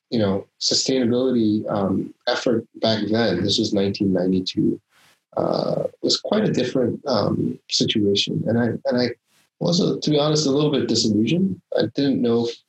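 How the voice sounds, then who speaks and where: A man's voice: mid-range voice, gravelly, rough recording. A man in his fifties from the Philippines, a startup founder telling his story in an interview, thinking aloud as he goes.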